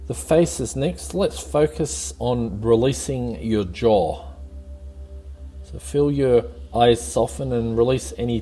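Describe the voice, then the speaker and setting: Slow, creaky-voiced man guiding meditation. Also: medium pitch. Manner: calm, gently encouraging, unhurried. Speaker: guided meditation host. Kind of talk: guided meditation, soothing spoken instruction.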